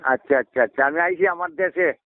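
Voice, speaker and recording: A man's voice: a little high, a little fast, no echo. An older man with a rural Kishoreganj accent in a casual phone conversation, plain-spoken and repeating short phrases. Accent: rural Kishoreganj Bengali. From Kishoreganj, Bangladesh. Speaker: phone call participant, village elder. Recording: tinny narrowband phone line.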